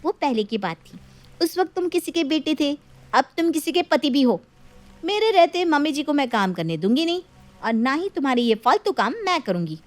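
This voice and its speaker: A woman's voice: sits high, very animated, moderate pace, no echo. A woman voicing a sensible, independent young wife who talks in a firm, scolding, assertive way in a story.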